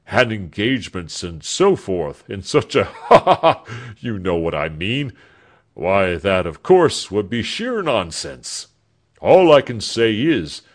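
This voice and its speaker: A man voicing a jovial older doctor who blusters and chuckles while talking. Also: medium pitch.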